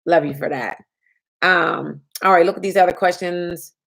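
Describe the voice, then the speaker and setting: A woman insurance trainer answers viewer questions in a chatty live stream. Her voice sits a little low and has a slight rasp from lack of sleep. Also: steady tone, moderate pace, a bit bright.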